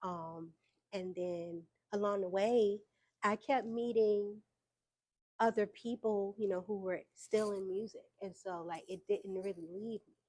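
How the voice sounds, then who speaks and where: A young adult woman, telling her story as an interview guest in a casual, relaxed way with fillers and easy laughs. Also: mid-range voice, a little slow. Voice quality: soft voice. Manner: relaxed, frequent fillers, laughs between points.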